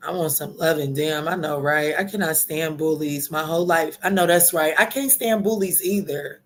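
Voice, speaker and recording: Raspy woman chatting on a livestream. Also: deep voice, moderate pace, a little hiss or hum. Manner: chatty, loose and playful, greeting viewers by name. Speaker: tarot reader and livestream host. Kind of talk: casual livestream chat with viewers.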